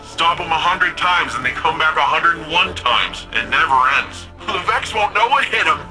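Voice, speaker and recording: A man's voice: on the high side, lively intonation, moderate pace, sharp tone, faint music behind, low-quality recording. A man playing a friendly, wry, tech-savvy ally in a sci-fi video game, chatty and quippy. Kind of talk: video game character dialogue.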